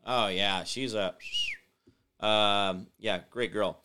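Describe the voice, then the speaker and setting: A man's voice: fairly low pitch, fairly even intonation, slow, noisy background. A man hosting an online political commentary show, rambling and scornful, trailing off and restarting, reading viewer comments aloud and replying to them. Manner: stumbles over names and repeats them to get them right. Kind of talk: unscripted political commentary monologue.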